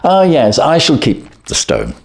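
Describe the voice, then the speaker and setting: A man reading the part of a brisk, clever, self-assured Victorian detective in an audiobook, with precise, commanding, theatrical delivery. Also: medium pitch, huge pitch swings, creaky, pitched above the performer's own voice, exaggerated voice.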